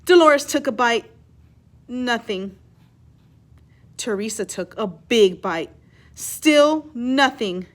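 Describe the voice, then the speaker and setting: High-voiced woman reading a children's story. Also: lively intonation. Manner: pauses to explain and ask listeners questions. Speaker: teacher reading aloud. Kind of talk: expressive children's storybook read-aloud.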